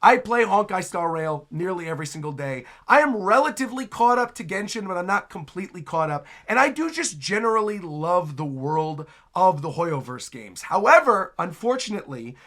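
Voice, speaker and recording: A man's voice: high voice, sing-song, normal speed, hardly any pauses, fairly bright tone. A man hosting a casual reaction video, chatty and exclamatory as he comments. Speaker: video host, streamer.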